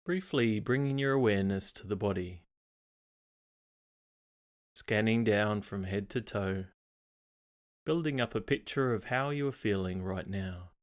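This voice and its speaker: Low-pitched man guiding a meditation. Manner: calm, unhurried, measured, with pauses between phrases. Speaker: meditation guide. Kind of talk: scripted guided meditation.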